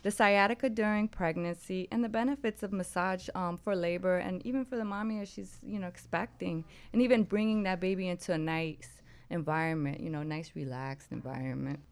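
Slightly low-voiced woman co-hosting radio. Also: fairly quick. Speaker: radio show co-host. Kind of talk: casual talk radio chat.

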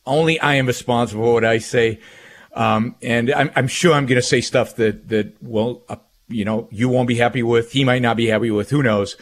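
A man's voice: medium pitch, fairly even intonation, normal speed. A middle-aged man with an Israeli accent, talking off the cuff as a radio host in an emphatic way, often repeating phrases. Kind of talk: talk radio monologue.

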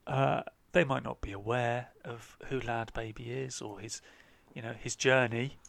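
A man's voice: medium pitch, fairly even intonation, a little slow, creaky, fairly bright tone. A man co-hosting a podcast, chatting casually with a dry, hesitant comic manner. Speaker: podcast co-host.

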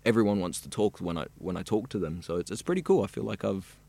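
A man's voice: fairly low pitch, expressive, fast, vocal fry, mellow. A young man from South Africa, a radio student chatting easily and at length in an interview. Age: young adult. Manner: chatty, rambling and easygoing. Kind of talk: casual interview chat.

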